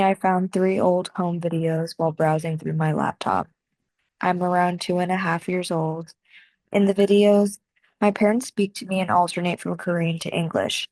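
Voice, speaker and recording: A young woman with an American accent narrates a personal essay in a measured, soft-spoken way. Her voice sits a little low for a woman, and the sound is dry and close, with no room around it. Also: no echo, low-quality recording. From United States. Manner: measured, soft-spoken reading with deliberate pauses. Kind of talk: scripted reflective narration.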